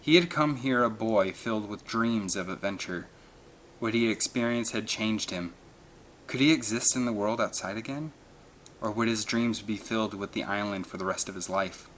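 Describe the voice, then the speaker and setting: A man's voice: on the low side, normal speed, fairly bright tone, slight background noise, poor audio. A man reading an adventure novel aloud as dramatic scripted narration. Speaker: audiobook narrator.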